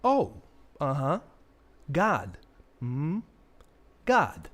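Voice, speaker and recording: A brash male vulture from a comic radio play, pitched high and swooping widely. He mocks and wisecracks like a streetwise small-time mobster. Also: wildly swooping pitch, extremely slow, fairly bright tone, exaggerated voice. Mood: mock confusion. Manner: mocking, wisecracking, streetwise slangy talk.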